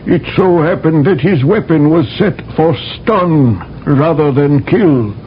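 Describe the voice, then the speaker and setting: An actor playing a solemn, fatalistic male alien physician, speaking in a measured, formal way in a 1970s radio drama. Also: a little high, a little hiss or hum, close to an everyday voice. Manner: measured, formal, slow speech. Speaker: physician third class. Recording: dull old radio broadcast.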